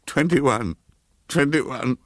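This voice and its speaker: A man reading a ghost story, voicing a terrified woman trapped high up. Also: drawn-out words, fairly bright tone, no echo, clean studio sound. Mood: terrified counting.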